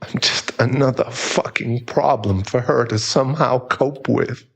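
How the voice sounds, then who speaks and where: A man playing a bitter, guilt-ridden divorced husband in an audio roleplay, halting and emotional, with some swearing. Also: mid-range voice, steady tone, vocal fry, breathy edge. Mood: bitter, wistful.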